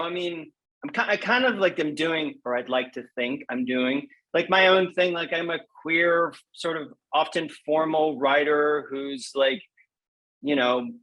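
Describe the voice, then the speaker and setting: A man's voice: a little high, normal speed, rough recording. Candid, self-deprecating man in conversation. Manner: self-deprecating, animated retelling with quoted asides. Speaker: guest poet. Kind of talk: candid conversational interview answers.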